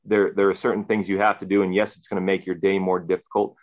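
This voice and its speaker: A man's voice: sits low, little pitch movement, quick pace, phone-quality sound. A thirty-year-old man, a guest on a round-table podcast, talking earnestly and rambling a little. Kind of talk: casual round-table talk.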